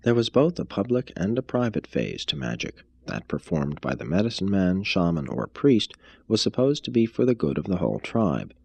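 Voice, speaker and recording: A man reading an expository text aloud as a narrator, in a measured, formal manner. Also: fairly low pitch, sing-song, creaky, a little mellow, husky, no echo. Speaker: reader, narrator. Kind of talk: scripted audiobook-style reading of an expository text.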